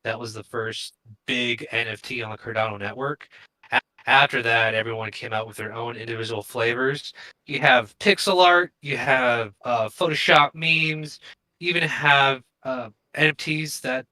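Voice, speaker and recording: A man speaks as a co-host on a casual live stream. His voice sits at a middle pitch with a bright, forceful edge. He talks informally, working without a script. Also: steady tone, moderate pace, low-quality recording. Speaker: podcast co-host.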